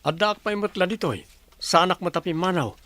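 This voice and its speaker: A man's voice: sits high, expressive, a little fast, very noisy. A man playing an evasive, defensive fiancé in a radio drama, with a Filipino Ilocano accent.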